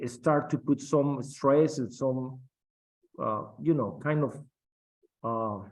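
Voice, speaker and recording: A middle-aged man whose first language is Spanish speaks slowly and haltingly, with many fillers and restarts. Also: mid-range voice, a little mellow, poor audio. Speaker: guest captain. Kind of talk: interview on aviation.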